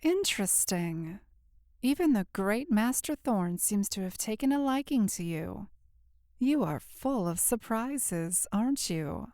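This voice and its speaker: A woman's voice: medium pitch, animated, a little slow, warm tone, no room sound, studio quality. A woman playing a sly, scheming servant spy in a roleplay audio drama, smug and insinuating. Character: sly, scheming female spy serving a master.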